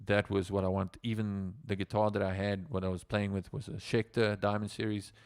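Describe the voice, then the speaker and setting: A man's voice: fairly low pitch, little pitch movement, brisk, gravelly, dry recording, clean studio sound. A South African man, native Afrikaans speaker, a musician telling stories on a casual livestream in a rambling way with lots of fillers. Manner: rambling, with many fillers and restarts.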